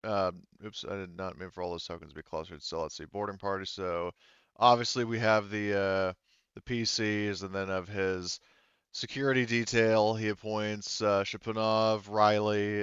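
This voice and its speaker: Low, smooth-voiced man as game master. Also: fairly bright tone, dry recording, lo-fi sound. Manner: hesitant, explanatory, thinking aloud. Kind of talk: narrating a tabletop roleplaying game.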